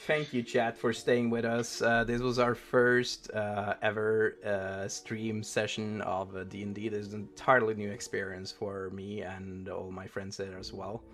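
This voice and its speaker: A man's voice: fairly low pitch, level tone, measured pace, hardly any pauses, a bit bright, soft background music. A man from Norway with a Norwegian accent, chatting casually as the game master and host of a streamed tabletop game. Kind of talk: casual post-game chat.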